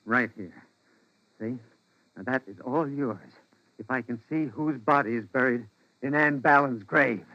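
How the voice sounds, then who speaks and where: An actor playing a frantic, obsessed husband, stammering and insistent, in the intense style of 1940s radio drama. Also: male voice, medium pitch, a little slow, pauses often, pushed and bright, no echo. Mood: desperate insistence. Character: frantic, obsessed young husband refusing to believe. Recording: dull vintage 1940s radio recording.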